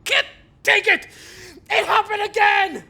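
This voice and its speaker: Volatile, high-strung grieving widower. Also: male voice, fairly even intonation, slow, vocal fry, pushed and bright, full character voice. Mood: explosive anguish. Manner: distraught, repetitive, explosive. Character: volatile, grief-stricken widower, agitated and obsessive. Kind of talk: audio drama acting.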